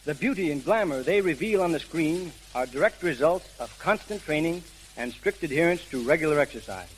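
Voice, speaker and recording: A man's voice: fairly high pitch, lively intonation, fairly quick. A man giving scripted advice as a fitness trainer in 1930s radio style. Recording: noisy crackling 1930s radio recording.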